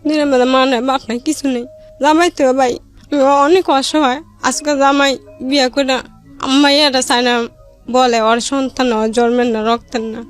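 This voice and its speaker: A woman with a high-pitched voice tells her own life story as a radio guest. She speaks a rural Bangladeshi dialect in a halting, unpolished way, with faint music behind her. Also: little pitch movement, clear, resonant tone. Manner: halting, plain-spoken, hard to follow. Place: radio studio.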